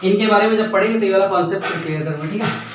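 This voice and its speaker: A man's voice: high-pitched, very fast, lo-fi sound, noisy background. Man teaching in a very echoey room. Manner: repeats key points, asks if it is clear. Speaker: English grammar teacher. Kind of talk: classroom-style grammar lesson. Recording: echoey room, mic at a distance.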